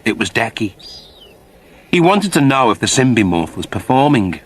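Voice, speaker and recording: A man's voice: medium pitch, big pitch swings, moderate pace, breathy edge. A man narrating a scripted story in a dramatic storytelling style. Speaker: audiobook narrator.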